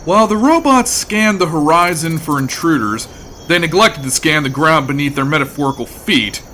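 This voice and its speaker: A man's voice: a little high, animated, normal speed, sharp tone, a little hiss or hum. A man playing a wry storyteller, delivering dramatic comic narration for a sci-fi audio adventure.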